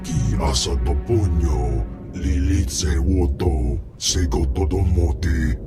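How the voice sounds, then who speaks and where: A man's voice: sits low, expressive, slow pace. A man voicing a huge, arrogant alien slug crime lord who speaks pompously in a guttural alien tongue for a video game. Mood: indignant. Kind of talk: video game character acting. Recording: loud music behind the voice.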